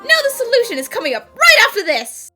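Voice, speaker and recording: A woman voicing an excitable, theatrical young woman in a fan-dubbed detective cartoon, speaking dramatically. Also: extremely high pitch, quick pace, faint music behind, exaggerated voice. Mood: excited announcing. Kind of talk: fan dub voice acting.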